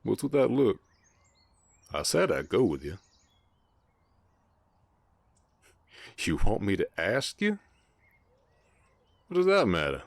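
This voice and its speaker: A man with an American Southern accent voicing a gentle, shy, gruff orc ranch hand in a romantic western audio roleplay, speaking haltingly and protectively. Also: on the low side, huge pitch swings, no echo, full character voice. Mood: flustered. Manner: bashful, halting, softly protective.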